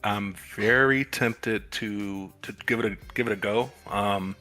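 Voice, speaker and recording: Man, somewhat low and bright voice, halting and laughing in chat, faint music behind. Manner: hesitant, laughs often. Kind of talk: casual chat, storytelling.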